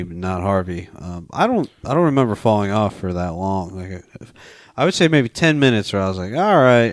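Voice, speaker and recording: A man's voice: fairly low pitch, clear, resonant tone, no echo, studio quality. A man co-hosting a film podcast, talking casually with slangy, profane wording.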